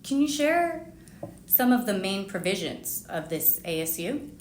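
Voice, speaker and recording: A woman's voice: medium pitch, big pitch swings, unhurried. Woman podcast host in an echoey room. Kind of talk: scripted podcast introduction and interview questions. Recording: roomy echo, faint background noise.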